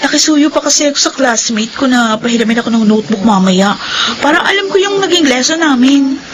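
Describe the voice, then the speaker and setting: A woman's voice: high voice, animated, brisk, poor audio. A chirpy teen girl. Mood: apologetic. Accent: Filipino. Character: teenage daughter, cheerful. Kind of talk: radio drama.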